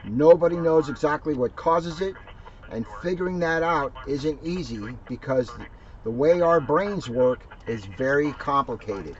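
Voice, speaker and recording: A man, a police officer, reading a children's book aloud and explaining it in simple terms. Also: on the high side. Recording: dull lo-fi sound, faint background noise.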